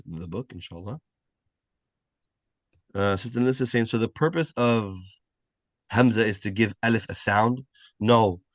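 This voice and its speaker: A man's voice: medium pitch, dry recording. A man teaching a live online beginner language class, explaining step by step, repeating key points and often checking with "right?". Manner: repetitive, checks understanding with frequent "right?".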